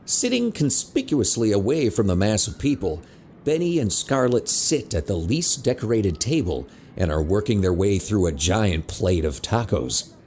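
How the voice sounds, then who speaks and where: A man's voice: fairly low pitch, very animated, normal speed, a little mellow, a little hiss or hum. A man narrating a scripted teen audio drama as a wry storyteller. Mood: descriptive.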